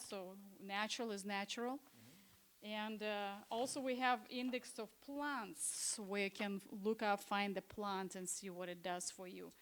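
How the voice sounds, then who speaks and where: Smooth-voiced woman presenting health books. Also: mid-range voice, fairly bright tone. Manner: conversational, with frequent hesitations and restarts. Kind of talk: informal presentation at a church seminar. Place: church hall.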